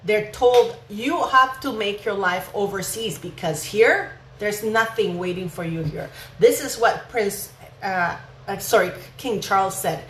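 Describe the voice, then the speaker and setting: Female vlog host, mid-pitched, indignant and emphatic commentary, echoey room, faint noise. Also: a little slow. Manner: emphatic, indignant, rhetorical questions. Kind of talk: opinionated royal gossip commentary.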